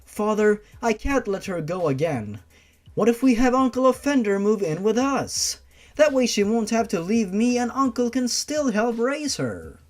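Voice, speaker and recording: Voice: mid-range voice, sing-song, moderate pace, voice pushed up, natural voice. A narrator voicing an earnest, devoted young daughter in a horror story reading. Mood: pleading.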